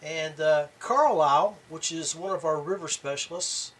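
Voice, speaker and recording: A man hosting a podcast solo in casual talk, often pausing partway through his phrases. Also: on the high side, fairly bright tone, poor audio. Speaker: podcast host.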